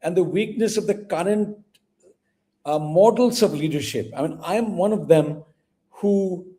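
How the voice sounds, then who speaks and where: A man interviews a guest in a courteous, meandering way, leaning on fillers as he builds his questions. His voice sits a little high but sounds mellow and soft-edged. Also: a little slow. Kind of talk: interview conversation.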